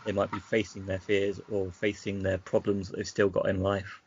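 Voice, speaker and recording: Low, gravelly man in reflective discussion. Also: monotone, moderate pace, no room sound, dull audio. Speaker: podcast co-host. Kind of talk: casual discussion.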